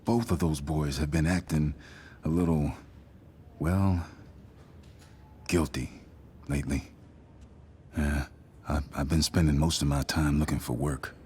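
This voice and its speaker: A man playing an earnest, worried middle-aged working father in a video game, speaking in a gentle, folksy, halting way. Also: extremely low pitch, fairly even intonation, slight background noise. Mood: concerned. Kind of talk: naturalistic video game voice acting.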